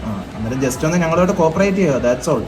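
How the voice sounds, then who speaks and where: A man's voice: fairly high pitch, lively intonation, a little fast, lightly acted. A man playing a child helpline officer on a prank call, politely but hesitantly questioning someone about a complaint. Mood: probing, hesitant. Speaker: prank caller. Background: loud music.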